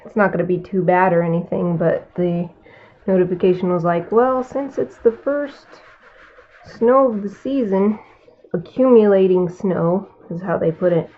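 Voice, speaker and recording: A woman with a Californian accent, an artist chatting casually while she paints live, musing aloud and murmuring to herself between remarks. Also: mid-range voice. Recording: tinny phone-like sound.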